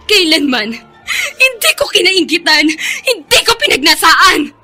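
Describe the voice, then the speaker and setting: A woman's voice: very high-pitched, sing-song, unhurried, sharp tone, faint music behind, full character voice. A Filipino actress playing a meek, kind-hearted, pleading young woman in a radio melodrama. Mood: tearful protest.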